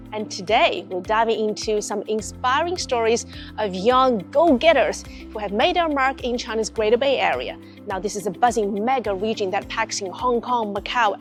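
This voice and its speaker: Breezy upbeat woman host, fairly high. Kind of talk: presenting a magazine show.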